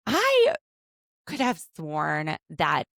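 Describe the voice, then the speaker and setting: A woman in her thirties hosting a casual podcast from home, chatty and quick to laugh as she picks apart a reality show. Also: sits low, huge pitch swings, creaky, fairly bright tone, no room sound, clean studio sound. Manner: chatty, laughs often, overanalyzing. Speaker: podcast host, attorney.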